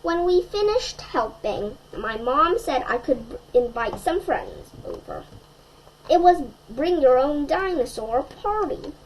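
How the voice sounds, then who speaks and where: A woman storyteller reading a children's picture book aloud in an expressive way, addressing young listeners. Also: high voice, slow pace, a little hiss or hum, poor audio.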